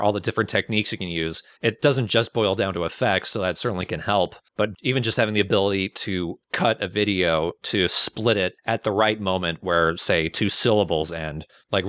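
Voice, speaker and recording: A man with a low voice speaks in a dry, close recording with no room sound. He talks informally and a little self-deprecatingly, like a video creator addressing regular viewers. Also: fairly bright tone, no echo. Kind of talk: casual personal reflective talk.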